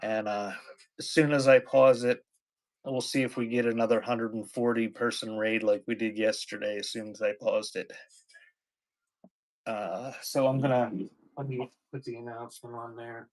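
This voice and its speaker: Male livestream auction host, medium-pitched voice, casual and halting, rambling delivery. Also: flat delivery, low-quality recording.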